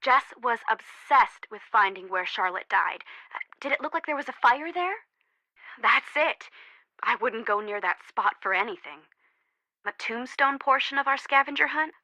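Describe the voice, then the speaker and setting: A woman's voice: a little high, animated, moderate pace, sharp tone, no room sound, heavily acted, poor audio. An actress playing a worried, guarded young woman in a video game, friend to a missing girl. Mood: wary, uneasy.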